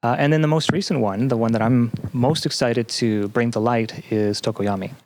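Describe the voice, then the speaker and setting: A man speaking as a podcast guest, explaining things casually with hesitant, thoughtful, halting phrasing. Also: medium pitch, darkish tone, a little hiss or hum. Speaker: podcast guest, game developer and researcher.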